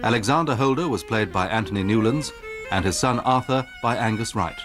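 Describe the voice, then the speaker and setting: A man's voice: fairly low pitch, animated, a little fast, over music. A man reading cast credits as a radio drama announcer, in a formal scripted delivery.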